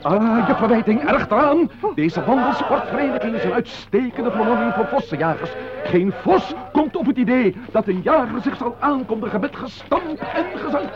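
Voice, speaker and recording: An actor playing a clumsy, eager, pedantic clerk and nature lover in a radio play, earnest and dramatic. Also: male voice, very high voice, expressive, moderate pace, dull audio, a crowd in the background.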